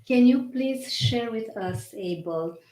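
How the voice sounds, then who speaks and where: A woman's voice: fairly high pitch, lively intonation, takes it slowly, a little mellow. A woman hosting a podcast interview, speaking earnestly with effusive praise for her guest. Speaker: podcast host. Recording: echoey room.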